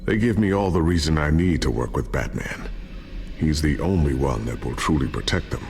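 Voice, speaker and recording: An actor playing a loyal, careful inventor and trusted ally in a video game, speaking in a measured, reassuring way. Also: male voice, a bass voice, expressive, darkish tone, a little hiss or hum. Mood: solemn. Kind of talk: video game dialogue, explanatory.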